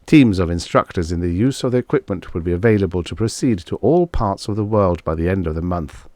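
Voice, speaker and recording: A man reading a vintage science fiction audiobook in a measured, formal storytelling manner. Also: low voice, expressive, dark tone, no room sound. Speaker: audiobook narrator. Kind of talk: scripted narration of vintage science fiction stories.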